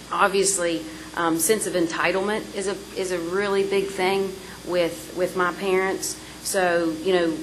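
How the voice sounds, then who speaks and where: Earnest, halting woman panelist. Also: lowish voice, slight background noise, poor audio. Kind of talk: panel discussion.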